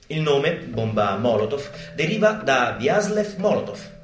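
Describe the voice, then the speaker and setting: A man delivers a mock instructional monologue in a live theater. His pitch sits in the middle but moves with great animation, and he is emphatic, with deliberate dramatic pauses. The room echoes around him, and live guitar music plays faintly behind. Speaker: stage performer. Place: indoor theater stage. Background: live guitar and bass music.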